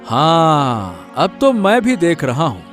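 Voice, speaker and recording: A man acts out a rustic middle-aged farmer father in a cartoon. His voice is high for a man and swoops in pitch as he speaks emphatically and protectively, with faint music behind. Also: wildly swooping pitch, slow, a bit bright, full character voice. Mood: proud.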